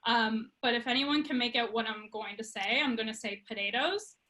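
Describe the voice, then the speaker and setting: A woman's voice: a little high, moderate pace, bright, forceful tone, rough recording. A woman in her thirties with a Prince Edward Island accent, a youth soccer coach chatting casually and laughing often in an interview. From Prince Edward Island, Canada. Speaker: girls' program coach.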